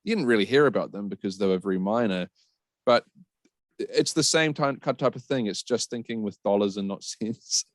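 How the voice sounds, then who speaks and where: A man's voice: lowish voice, normal speed, no echo. A man giving blunt opinions as a panelist in a casual podcast chat.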